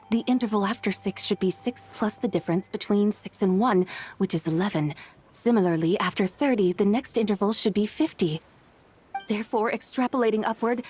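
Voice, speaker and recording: A woman voicing a calm, dignified, literal-minded scholarly woman in a video game, speaking in a formal, measured, matter-of-fact way. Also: mid-range voice, a little hiss or hum, natural voice. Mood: calculating.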